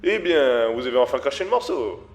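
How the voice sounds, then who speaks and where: Cold, formal crime boss voiced by a man, lively pitch, condescending, music underneath. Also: fairly quick. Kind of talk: amateur audio drama.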